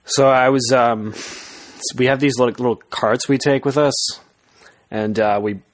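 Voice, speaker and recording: A man's voice: mid-range voice, steady tone. A young man with a mid-range voice talks as a podcast co-host. He tells his story loosely and casually, doubling back on himself and swearing offhandedly as he goes. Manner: halting, self-interrupting, swears casually. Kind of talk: casual storytelling chat with friends.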